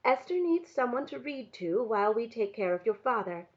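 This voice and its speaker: Woman reading as a tired firm mom in a storybook, quiet and measured, muffled audio. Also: a little high, big pitch swings.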